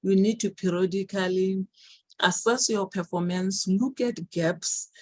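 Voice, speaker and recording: A woman from Botswana with a Botswana accent, a podcast guest giving measured, earnest answers and repeating words for emphasis. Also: medium pitch, a little mellow. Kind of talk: interview answers.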